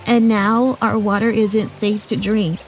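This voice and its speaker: A woman voicing a gentle, worried friendly monster in a children's storybook. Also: medium pitch, steady tone, unhurried, lightly acted, low-quality recording. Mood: worried, sad.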